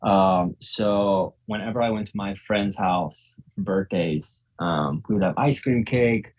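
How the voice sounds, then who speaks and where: A man's voice: fairly low pitch, unhurried, muffled recording. A young man, born in Ukraine and raised in Virginia, chatting casually as a podcast guest, often laughing and repeating words. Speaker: guest, professional tennis player.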